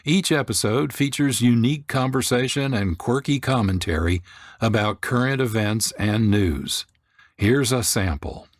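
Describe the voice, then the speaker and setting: A man's voice: mid-range voice, measured pace, dry recording, clean studio sound. A man hosting a radio programme with scripted narration. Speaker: host.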